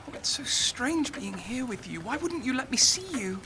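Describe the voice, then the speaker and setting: A man's voice: extremely high pitch, sing-song, moderate pace, background noise, exaggerated voice. A man acting an anguished, self-doubting young writer in an audio drama, lovesick, volatile and intensely emotional. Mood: anxious longing. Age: young adult.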